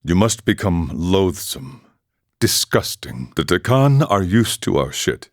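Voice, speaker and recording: A weathered warrior monk, with a very low voice and a close, dry sound. He is calm and ascetic, setting out his philosophy in measured, solemn video game dialogue. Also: no room sound, heavily acted. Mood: solemn, serene. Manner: measured, solemn, aphoristic storytelling.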